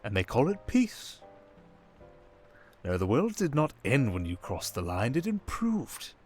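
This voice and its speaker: A man playing a suave, manipulative criminal mastermind in an intimate ASMR roleplay, with a coaxing, condescending, theatrical delivery full of drawn-out pauses. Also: mid-range voice, wildly swooping pitch. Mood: probing, smug. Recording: music behind the voice. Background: music.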